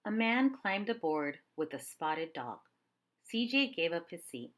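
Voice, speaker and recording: Lady reading a kids book out loud, lowish voice, really animated narration. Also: a little slow. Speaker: storybook reader. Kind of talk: expressive children's read-aloud narration.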